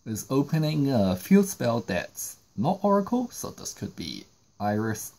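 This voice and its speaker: A man's voice: mid-range voice, very animated, a little slow, mellow. A man narrating a step-by-step card game tutorial, explaining each move in sequence. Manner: explanatory, walking through moves in sequence. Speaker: trading card game YouTuber.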